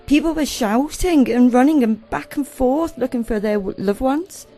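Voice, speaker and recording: A woman acting a distressed, weary married shipwreck survivor in a radio play, recalling it haltingly and dramatically. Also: high voice, warm tone. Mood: shaken, remembering. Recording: community radio play with faint music.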